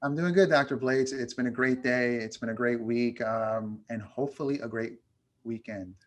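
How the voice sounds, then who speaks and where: A man from New York, raised speaking Spanish, talks as a guest on an interview show. His voice sits at an ordinary middle pitch. He speaks casually and reflectively, pausing often to gather his thoughts. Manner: reflective, many "um" pauses. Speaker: guest, education consultant.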